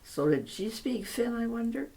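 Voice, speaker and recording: An interviewer with a mid-pitched voice who asks gentle questions. Short acknowledgements keep an older guest talking about his memories. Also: animated, moderate pace, vocal fry. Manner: brief encouraging prompts. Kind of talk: oral history interview.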